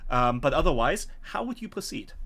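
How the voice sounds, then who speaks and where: A middle-aged man narrating a tabletop horror game as game master, deliberate and often pausing, on a home video call. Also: fairly high pitch, sing-song, a bit bright.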